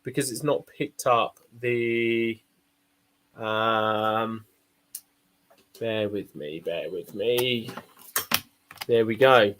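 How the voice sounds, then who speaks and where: A man's voice: lowish voice, drawn-out words, long pauses, a bit bright, a little hiss or hum. A man hosting a casual online Q&A, rambling and self-deprecating, often repeating his phrases. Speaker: host of an online community Q&A. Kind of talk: casual chat, reading out audience questions.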